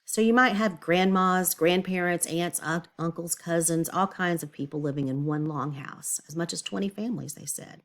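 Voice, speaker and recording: A woman's voice: on the low side, moderate pace, few pauses. A woman teacher giving a read-aloud lesson for children, explaining things step by step and thinking aloud. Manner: explanatory, asks the listener questions and thinks aloud.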